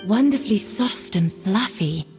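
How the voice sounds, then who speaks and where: Posh-mannered lady food judge in a game, measured, echoey, music behind. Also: mid-range voice, expressive, a little mellow. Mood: impressed. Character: refined, discerning woman food judge. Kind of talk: video game voice acting.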